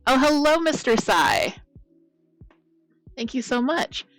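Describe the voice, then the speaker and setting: Croaky woman on a blown-out mic. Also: high voice, sing-song, takes it slowly, fairly bright tone, no room sound, faint music behind. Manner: chatty, rambling, greets viewers by name. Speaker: streamer. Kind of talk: casual stream chat.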